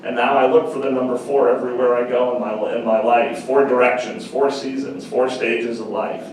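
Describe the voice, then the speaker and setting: A middle-aged man giving an earnest, measured talk as a panel speaker, repeating phrases for emphasis. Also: medium pitch, flat delivery, darkish tone, a little hiss or hum. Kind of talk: reflective public talk. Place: university meeting room. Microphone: far camera. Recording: echoey room from far off.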